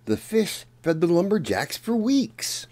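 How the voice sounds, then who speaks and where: A man reading a children's picture book aloud as a storyteller, in a deliberate, dramatic manner. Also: sits high, sing-song, darkish tone. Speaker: children's storyteller and channel host.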